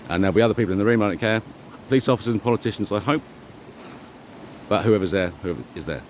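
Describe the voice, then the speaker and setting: A middle-aged man giving a rambling, informal monologue, speaking emphatically and self-assuredly in halting, repeated phrases. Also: lowish voice, a little hiss or hum. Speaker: vlogger.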